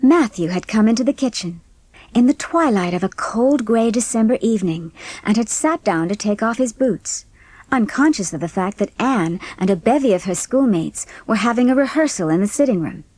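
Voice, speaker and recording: A woman narrating an audiobook story in an expressive, scripted storytelling manner. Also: fairly high pitch, normal speed, no echo.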